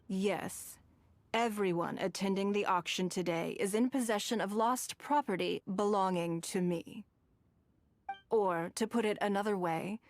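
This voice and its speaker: A woman playing a shrewd, wealthy, coolly commanding businesswoman in a video game, speaking in measured, eloquent, formal phrases. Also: medium pitch, dry recording, close to an everyday voice.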